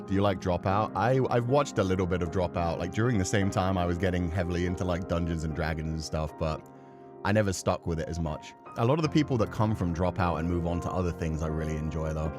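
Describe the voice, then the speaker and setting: A man livestreaming a horror game, chatting casually and excitably and swearing freely. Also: low voice, fast, over music. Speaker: game streamer.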